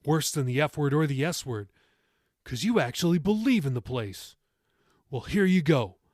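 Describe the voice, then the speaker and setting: A man's voice: a little high, expressive, normal speed, darkish tone, dry recording, clean studio sound. A man voicing an angry, sarcastic seventeen-year-old grandson in an audiobook, sneering and snarling his words. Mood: mocking.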